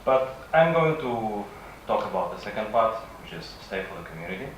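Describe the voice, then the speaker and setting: A man's voice: fairly low pitch, very animated, brisk. Russian guy doing a conference talk, kinda hesitant, really distant mic w/ big room echo. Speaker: conference speaker. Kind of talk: conference presentation, semi-improvised. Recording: echoey room from far off, faint noise.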